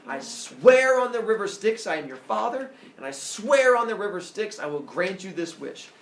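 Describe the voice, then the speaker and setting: A man's voice: high-pitched, very animated, normal speed, voice pushed up. A man voicing a mighty, gigantic sun god and solemn father in a myth storytelling, grand and declamatory. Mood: generous, grand. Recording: roomy, distant mic, faint noise.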